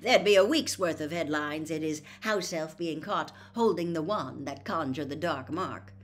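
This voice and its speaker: A woman's voice: sits low, normal speed, close to an everyday voice. A tired middle-aged dad from a storybook, read by a woman. He grumbles in an exasperated, irritable way. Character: weary, irritable middle-aged father, government worker. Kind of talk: storybook character voice.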